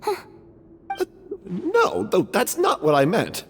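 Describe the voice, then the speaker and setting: An actor playing an earnest, remorseful and easily flustered stage performer in a video game, speaking emphatically. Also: male voice, high voice, huge pitch swings, slow, full character voice. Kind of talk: dramatic video game voice acting. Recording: long reverb, some background noise.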